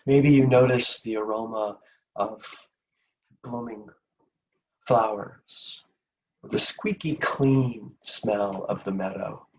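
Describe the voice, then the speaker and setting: A man leading a guided meditation in a gentle, soothing, unhurried manner with long pauses between phrases. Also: on the low side, steady tone, far from the mic, dull audio.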